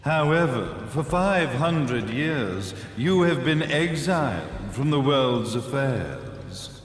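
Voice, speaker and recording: A man's voice: medium pitch, sing-song. An actor playing a grandiose, ancient villainous father in a video game cutscene, with a formal, theatrical delivery. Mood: solemn, lecturing. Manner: slow, theatrical, pompous, formal. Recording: echoey hall reverb, music underneath.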